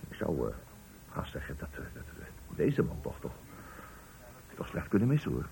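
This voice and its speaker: An actor in a radio play playing a nervous, servile factory foreman, hesitant and deferential, with a very rough, husky voice. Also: very deep voice, big pitch swings, normal speed, mellow, slight background noise, full character voice, low-quality recording. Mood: cautious, deferential. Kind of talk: Dutch radio play acting.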